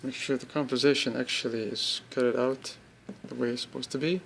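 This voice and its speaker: A man with a mid-pitched voice walks through an app in an informal, unscripted way. He builds his sentences haltingly, in short bursts. The homemade recording carries some faint noise. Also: steady tone, vocal fry, a bit bright. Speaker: app demonstrator. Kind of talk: informal, unscripted product demo.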